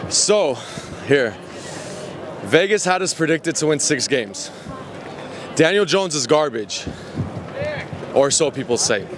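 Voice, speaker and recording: A man's voice: fairly high pitch, very animated, slow, long pauses. A young man, a rival team's fan, gloating cockily in a street interview at night. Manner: cocky, gloating. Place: city street at night outdoors. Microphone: handheld mic. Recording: noisy city street.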